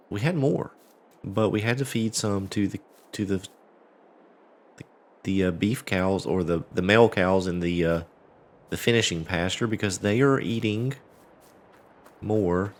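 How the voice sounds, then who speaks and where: A man hosting a farming game playthrough, congested and a bit cracking, talking in a relaxed, rambling way with many fillers and restarts. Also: deep voice. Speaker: let's play host. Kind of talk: casual gameplay commentary.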